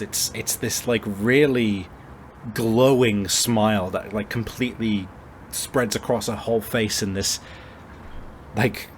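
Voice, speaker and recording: A man narrating a tabletop roleplay game as game master, improvising in a halting, conversational way. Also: on the low side, slight background noise. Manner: halting, conversational, frequent searching pauses.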